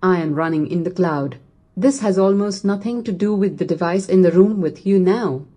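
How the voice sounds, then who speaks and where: Text-to-speech lady voice, lowish, soft mellow tone, reads everything the same even way. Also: normal speed. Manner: even, machine-read recitation. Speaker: smart speaker voice assistant. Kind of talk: synthetic text-to-speech reading.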